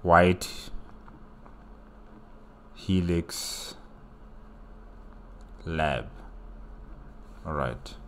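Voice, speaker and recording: A man gives casual solo reaction commentary. His voice is on the low side and he takes his time, musing aloud and sounding invested in the story. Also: extremely slow, pauses often, a bit bright. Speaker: reaction video host.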